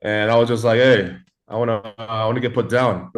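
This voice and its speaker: A man's voice: lowish voice, fairly even intonation, fairly quick. A man in his forties, raised in Los Angeles, telling his story as a guest in a laid-back way, full of fillers and swearing. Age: 40s. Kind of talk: casual storytelling.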